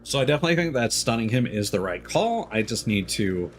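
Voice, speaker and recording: A man's voice: medium pitch, sing-song, fast, faint music behind. A young man streaming a video game, talking casually and frankly, rambling and swearing freely. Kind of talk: casual gameplay commentary.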